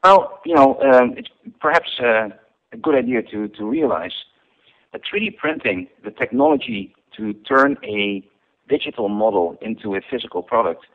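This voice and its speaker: A man from the Netherlands, a company founder giving an interview. He speaks in a measured way with many ums and uhs. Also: fairly low pitch, creaky. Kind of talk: interview over the phone. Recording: tinny phone line.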